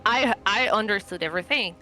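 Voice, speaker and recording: A woman speaking in character as a player in a tabletop roleplaying game, deliberate and reassuring. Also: medium pitch, bright, forceful tone, soft background music. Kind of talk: improvised in-character conversation.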